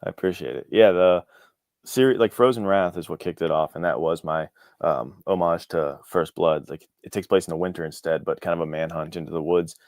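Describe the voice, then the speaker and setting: A man's voice: low voice, fairly quick, darkish tone, no room sound, clean studio sound. A man talking casually and informally as an interview guest, with an enthusiastic manner. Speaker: guest, indie author.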